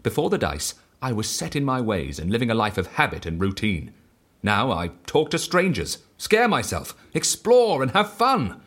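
An actor playing a reckless, exhilarated man on the run who lives by the dice, in an audio drama, excitable and evangelical yet matter-of-fact about outrageous deeds. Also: mid-range voice, moderate pace, slightly rough, exaggerated voice. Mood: exhilarated, persuasive.

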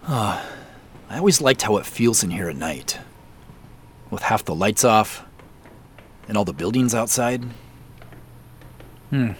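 An actor in an audio drama playing a furtive, awkward office worker, with halting, casual delivery. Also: male voice, lowish voice, animated, slight background noise. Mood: furtive, musing. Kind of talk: naturalistic audio drama acting.

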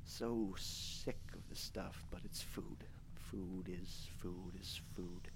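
An actor playing a starving, trapped male survivor in an audio horror drama, desperate and darkly joking, talking in a halting, stammering way between murmurs and strained yells. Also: low voice, fairly even intonation, whispered, heavily acted. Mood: wry, exhausted. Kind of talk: live audio horror drama, recorded diary monologue. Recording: clearly noisy live stage recording.

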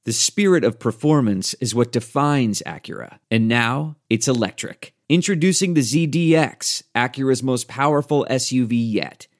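A male announcer reading a car advertisement in a polished, even way. His voice sits at a middle pitch. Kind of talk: car commercial read.

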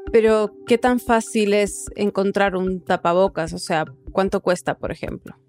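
A woman's voice: mid-range voice, normal speed, darkish tone, soft background music. A woman hosting a narrative news podcast, reading scripted narration and putting questions on a close mic. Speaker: podcast host.

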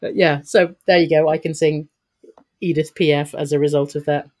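A woman's voice: deep voice, fairly even intonation, moderate pace, a little mellow. A woman talking as a guest in an interview, upbeat and chatty, laughing often and restarting her sentences. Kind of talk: podcast interview answers.

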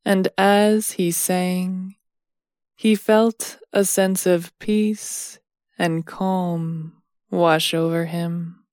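A woman reading a scripted bedtime story in a soothing, measured manner, leaving long pauses between phrases. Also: on the low side, fairly even intonation, a little mellow, dry recording, studio quality.

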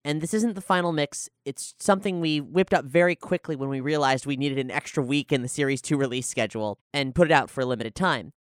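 A woman's voice: deep voice, fast talker, hardly any pauses, dry recording, studio quality. A woman giving announcements as a podcast host.

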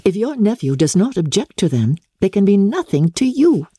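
A woman reading a classic novel aloud, voicing a proud, unintimidated young gentlewoman in a measured, firm, formal manner. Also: mid-range voice, sing-song, breathy edge, no echo, studio quality. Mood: unyielding. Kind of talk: dramatic audiobook reading of classic literature.

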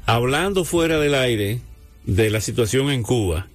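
A man hosting a radio interview, speaking in a measured way and often chiming in with short agreements. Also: mid-range voice, animated, soft background music.

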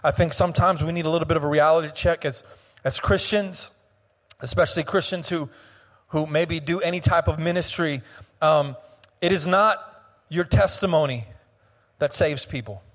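A fairly high-pitched young man preaching from the pulpit, his voice a touch hoarse. He leans into a sung, drawn-out delivery, repeats himself and restarts often. His voice rings with the long reverb of a church sanctuary. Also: a bit bright. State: slightly hoarse. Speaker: pastor. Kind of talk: sermon.

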